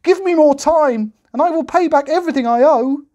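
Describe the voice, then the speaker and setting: A man voicing a frightened male servant in a storybook tale, crying out in a high, pleading voice. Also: a little fast, a little mellow.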